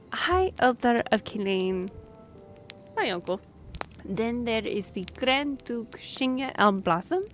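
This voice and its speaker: A woman playing a friendly, earnest young half-elf royal messenger in a fantasy audio roleplay, speaking a little hesitantly. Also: medium pitch. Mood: formal, hesitant listing. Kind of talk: fantasy audio roleplay, one-sided conversation. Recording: noisy track with background ambience.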